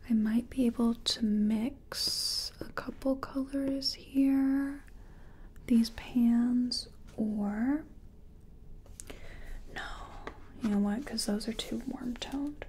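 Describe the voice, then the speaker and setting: A woman playing a nervous makeup contestant in a soft-spoken ASMR roleplay, whispering hesitantly as she thinks aloud. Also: fairly high pitch, fairly even intonation, drawn-out words, slightly rough, full character voice. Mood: nervous, focused. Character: nervous female makeup artist competing in a contest. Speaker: ASMR performer.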